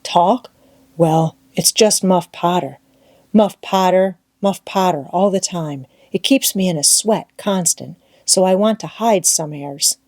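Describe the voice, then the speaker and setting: A woman's voice: lowish voice, slow pace, darkish tone, no echo. A woman voicing a plain-spoken, kindly vagabond boy in an audiobook, with emphatic, drawling rustic dialect. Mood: uneasy.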